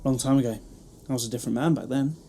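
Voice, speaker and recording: A man's voice: medium pitch, rapid-fire, creaky, dark tone, slight background noise, lightly acted. A man playing a wry, weary wandering time traveller in an audio drama, flippant and dryly humorous. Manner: flippant, dry humour.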